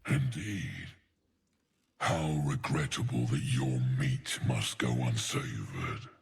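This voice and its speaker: An actor voicing a huge, gluttonous, greedy ogre mercenary in a video game, eloquent and pompous, savoring florid words. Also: male voice, very deep voice, lively intonation, slow, creaky, slightly rough, exaggerated voice. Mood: disdainful. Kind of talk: video game character acting.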